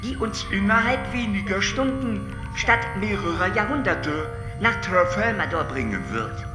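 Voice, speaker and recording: A woman playing a calm, all-knowing alien in a radio drama, measured and lecturing, sometimes sticking on syllables. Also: mid-range voice, natural voice. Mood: detached, explanatory. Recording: long reverb, music behind.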